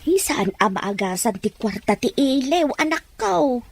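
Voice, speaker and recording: A woman's voice: fairly high pitch, sing-song, measured pace, slight background noise. A woman playing a wistful, loving older Ilocano mother in an audio drama, speaking with an Ilocano accent. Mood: worried.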